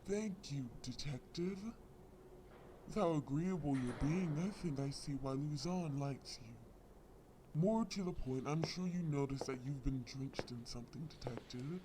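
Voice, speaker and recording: A man's voice: mid-range voice, unhurried, background noise, natural voice. A man playing a possessive, mock-sweet crime villain in an audio roleplay, taunting with theatrical politeness. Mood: gloating, mocking. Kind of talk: scripted audio roleplay acting.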